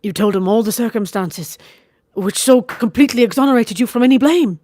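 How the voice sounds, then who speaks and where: A woman reading a gentle earnest young man. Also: fairly high pitch, normal speed, dry recording, clean studio sound, natural voice. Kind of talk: audiobook character reading.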